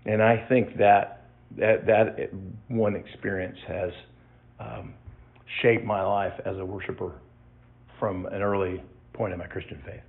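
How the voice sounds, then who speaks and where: Halting older man giving testimony, tinny sound. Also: on the low side, level tone, vocal fry. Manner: reflective, halting, with many restarts and fillers. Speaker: church member giving testimony. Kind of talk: personal testimony to a congregation.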